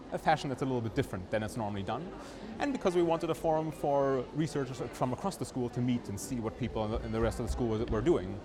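A man, a research fellow, speaking briskly and enthusiastically about an exhibition. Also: mid-range voice. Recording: chattering crowd behind.

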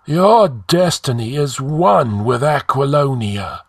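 A man's voice: medium pitch, expressive, takes it slowly, creaky, slightly rough, no echo, studio quality, pitched above the performer's own voice, exaggerated voice. A man voicing an ancient ghostly sage in a fantasy audiobook, solemn, commanding and oratorical in an archaic way.

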